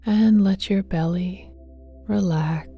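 Very soft, mellow woman guiding meditation, slowly. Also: medium pitch. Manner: gentle, hushed, often breathy near a whisper; tender, affectionate address to listener. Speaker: meditation guide. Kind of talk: guided meditation, slow and soothing. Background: soft meditation music with singing bowls.

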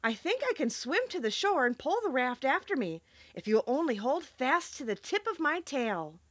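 A big storybook lion, read by a woman, earnest and practical. The voice sits a little high and moves expressively, with a dry sound. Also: big pitch swings, moderate pace, fairly bright tone, no room sound, highs cut off, full character voice. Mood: resolute. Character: big male lion, earnest, helpful, unsure of his courage.

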